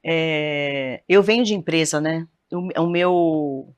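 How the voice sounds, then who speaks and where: A woman's voice: low voice, slow pace. A Brazilian woman talking as a panelist on a studio talk show, chatty and emphatic, often turning to the group. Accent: Brazilian Portuguese.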